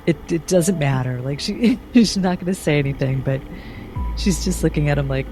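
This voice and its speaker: A woman's voice: sits low, normal speed, faint music behind. A woman casually narrating her character's reactions as a player in a tabletop roleplay.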